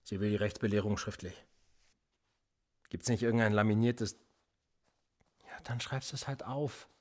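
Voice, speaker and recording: An actor playing a cynical, sly police interrogator in a radio play, with an insinuating, coolly threatening manner. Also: male voice, fairly low pitch, measured pace. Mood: dismissive, dry. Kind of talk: naturalistic German radio play acting.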